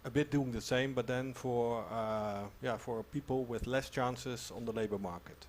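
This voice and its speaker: A man's voice: mid-range voice, steady tone, normal speed. Dutch-accented man introducing himself. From Limburg, Netherlands. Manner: non-native phrasing. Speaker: guest, former school director. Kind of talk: self-introduction in an interview.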